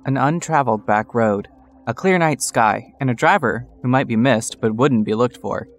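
A man's voice: medium pitch, moderate pace. Wry male storyteller over music. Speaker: narrator. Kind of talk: scripted dramatic story narration. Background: music score.

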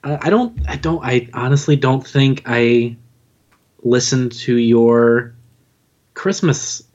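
A man's voice: medium pitch, takes it slowly, a little mellow. A man chatting casually as a podcast guest, recorded on a dull home-studio microphone. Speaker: podcast guest, audio drama writer. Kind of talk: casual chat. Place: home studio in an old house.

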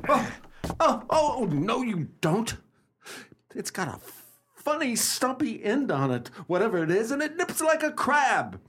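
A man's voice: very high voice, big pitch swings, a little slow, a bit bright, a little hiss or hum, exaggerated voice. A man playing an obliging, skittish but gamely brave companion in an old-fashioned radio play, with theatrical, exclamatory and politely old-fashioned speech. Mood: frightened, frantic.

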